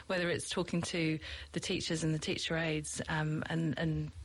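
A woman's voice: low-pitched, flat delivery, normal speed, rough recording. A middle-aged British woman from the UK, a teacher, answering interview questions in a reflective, chatty way with many fillers and light laughs.

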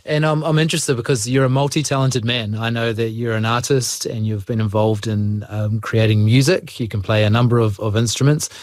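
New man asking measured questions. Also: medium pitch, fairly even intonation, no room sound, clean studio sound. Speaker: interviewer. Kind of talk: friendly interview questions.

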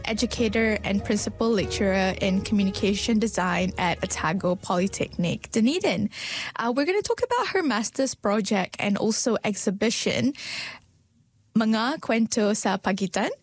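A woman's voice: medium pitch, animated, normal speed, soft background music. A woman hosting a community podcast, introducing a guest and asking questions in an encouraging way. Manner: bright, encouraging, reads intros smoothly. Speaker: podcast host. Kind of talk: warm-toned hosted interview.

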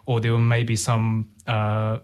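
A man's voice: fairly low pitch, completely flat delivery, slow, darkish tone. A young man, a student guest answering interview questions in careful, formal, wordy phrases in a studio. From New Zealand. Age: young adult. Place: radio studio.

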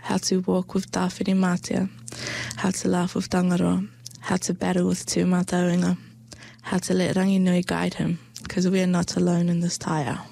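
Woman, New Zealand accent, lowish voice, vocal fry, soft measured delivery, spoken word poet. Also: completely flat delivery. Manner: soft, slow, measured delivery with hushed phrases. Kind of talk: spoken word poetry and personal reflection.

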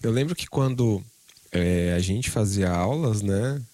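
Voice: deep voice, a little slow. A Brazilian speaker hosting a casual conversation, often agreeing with guests and sharing chatty stories. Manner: affirming listener, lively and chatty.

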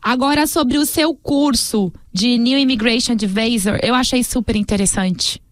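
A woman's voice: a little high, moderate pace, no echo. A woman hosting an interview, asking questions with enthusiasm and heaping praise on her guest.

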